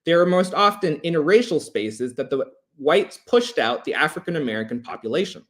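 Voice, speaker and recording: A man giving a prepared history presentation in a measured, emphatic, formal way, as a lecturer. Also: a little high, expressive, a bit bright.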